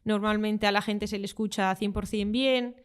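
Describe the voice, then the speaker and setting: A young woman talks through her translation work in an easy, conversational way. Her voice is smooth and clear, at a middle pitch. She pauses on fillers while she gathers her thoughts. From Spain. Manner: relaxed, explanatory, with frequent fillers. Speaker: guest, football translator. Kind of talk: conversational interview answers.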